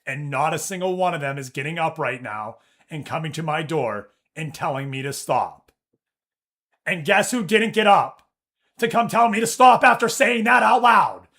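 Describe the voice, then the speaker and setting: A man streams from his office, chatting loosely with viewers and retelling a run-in with a customer. He talks in an animated, profane, storytelling way, and his voice sits a little higher than you'd expect for someone who calls it deep. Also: normal speed, gravelly. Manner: animated storytelling, swears, reads chat aloud. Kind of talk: casual chat with live chat.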